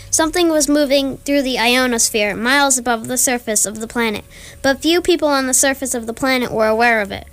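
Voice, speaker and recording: A matter-of-fact guidebook narrator performed by a woman. Her voice is on the high side, and she delivers scripted radio-play narration in a measured, explanatory way. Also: a bit bright.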